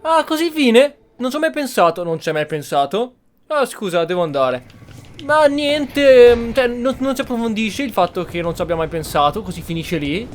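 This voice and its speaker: High-pitched man, blunt game commentary. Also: big pitch swings, brisk. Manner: blunt, critical. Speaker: gamer commentating on a roleplay playthrough. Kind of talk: casual, opinionated game commentary.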